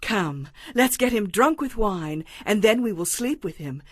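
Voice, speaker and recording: An actress playing a resolute, scheming young daughter in a Bible audio drama, speaking persuasively and conspiratorially. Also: female voice, mid-range voice, expressive, a little slow, no echo, studio quality.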